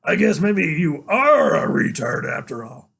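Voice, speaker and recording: A man reading an audiobook and voicing a brutal, menacing father who speaks low, cold and weary. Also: big pitch swings, a little slow, vocal fry, fairly bright tone, husky, exaggerated voice. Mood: sneering.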